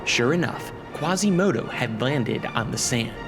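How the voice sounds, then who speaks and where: Solemn dramatic narration for a radio monster serial. His voice is grave and middling in pitch, telling events with suspense over loud music. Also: expressive, unhurried. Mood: grave, suspenseful. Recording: loud dramatic score behind.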